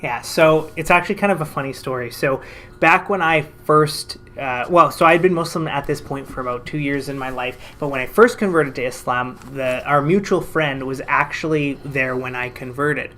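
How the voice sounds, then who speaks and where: This man chats through a personal story to camera, lively and poking fun at himself. His voice sits at an ordinary pitch, and there is a faint hiss of noise behind him. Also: moderate pace. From Canada. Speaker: financial advisor and vlogger.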